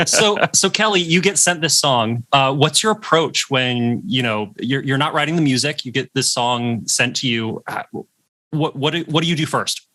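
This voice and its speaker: A man hosting a casual online interview, playful and joking, quick to laugh. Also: mid-range voice, pushed and bright, no echo. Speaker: host.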